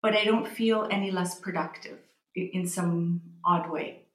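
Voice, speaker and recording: A Canadian woman in her forties, a magazine editor speaking as an interview guest, reflective and pausing as she restarts her phrases. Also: lowish voice, fairly even intonation. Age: 40s. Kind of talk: interview conversation. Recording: roomy echo.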